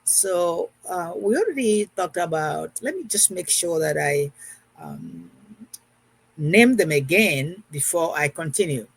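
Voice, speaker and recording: A woman in her sixties talks through a casual recorded lesson as a math teacher. Her voice has a husky, slightly breathy edge. She circles back, repeating and rewording each point as she goes. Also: on the low side, animated, slow pace, poor audio. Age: 60s.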